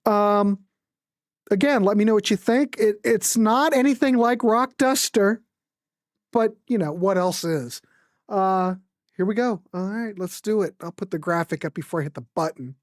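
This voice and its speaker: A woman's voice: mid-range voice, moderate pace, dry recording, studio quality. A woman hosting a music show in casual chat, introducing and commenting on records in an informal way, as if thinking aloud. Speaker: host of a music livestream.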